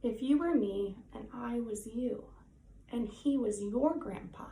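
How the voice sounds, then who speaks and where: Woman as a high-voiced, hopeful little schoolgirl. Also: unhurried, warm tone. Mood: earnest. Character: earnest second-grade girl, hesitant but brave. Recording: echoey room, faint background noise.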